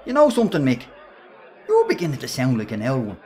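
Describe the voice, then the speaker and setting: A man's voice: medium pitch, wildly swooping pitch, fast talker, mellow, some chatter behind. An actor with a Dublin accent playing a brash, bullying working-class man in a radio play, cocky and needling in his slangy talk. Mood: boisterous banter. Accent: Irish, Dublin. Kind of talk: radio play acting in colloquial dialogue.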